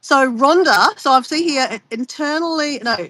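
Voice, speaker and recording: A woman's voice: sits high, normal speed, fairly bright tone, no echo. A woman chatting as a guest on a video call, a bit stuffy and sniffly, rambling with restarts. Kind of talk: casual chat on a video call.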